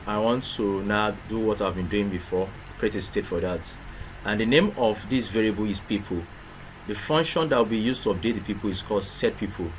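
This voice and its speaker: Guy walking through code on screen, lowish voice, keeps restarting lines, noisy room w/ keyboard clicks. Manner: explains step by step with many restarts and rhetorical questions. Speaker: programming instructor. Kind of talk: screen-recorded coding tutorial. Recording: clear background noise with keyboard typing.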